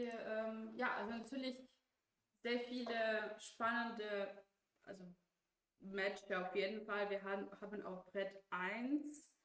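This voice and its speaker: A woman's voice: mid-range voice, fairly bright tone. Woman, hesitant, in an echoey room. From Ukraine. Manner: hesitant, many fillers and restarts, laughs often. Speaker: guest co-commentator. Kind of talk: casual chat on a chess stream.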